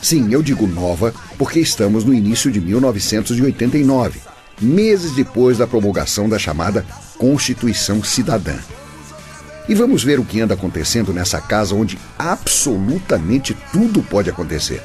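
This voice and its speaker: A man's voice: lowish voice, very animated, normal speed, darkish tone, soft background music. A man with a Brazilian accent narrating a radio drama from a script, chatting directly to the listeners.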